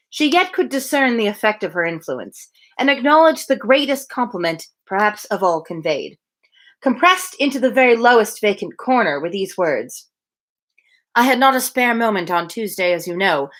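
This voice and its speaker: A woman reading a classic novel aloud live, as an expressive storybook narrator. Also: mid-range voice. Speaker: reader of a daily livestreamed novel reading.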